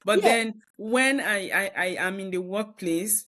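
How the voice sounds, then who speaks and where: A woman with a Nigerian accent hosting a casual interview, asking measured questions and often giving short agreeing replies. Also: mid-range voice, fairly bright tone.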